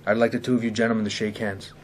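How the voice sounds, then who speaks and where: A man's voice: lowish voice, flat delivery, very fast, close to an everyday voice. A man playing a firm, authoritative school administrator formally questioning students in an amateur school dramatization. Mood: firm, stern. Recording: clearly noisy room recording.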